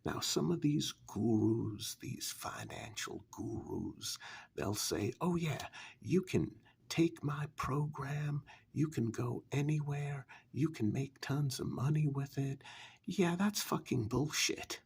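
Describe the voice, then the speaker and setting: A man playing a smug, sinister get-rich-quick pitchman in a soft, husky, halting ASMR parody infomercial. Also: expressive, exaggerated voice. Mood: smug, confiding. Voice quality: husky, breathy, gravelly. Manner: halting, smug, casually menacing, sweary.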